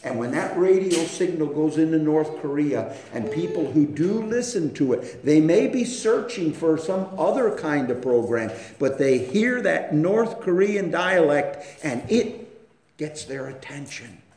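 A man preaching a sermon, swinging between hushed storytelling and loud, impassioned emphasis. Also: on the high side, animated, measured pace. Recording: echoey room with faint noise.

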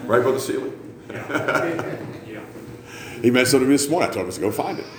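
A man's voice: medium pitch, expressive, slow pace, a crowd in the background. A man preaching a sermon to a church congregation in a conversational, self-mocking, teasing manner. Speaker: pastor. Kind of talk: sermon with joking asides. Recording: roomy hall with faint ambience.

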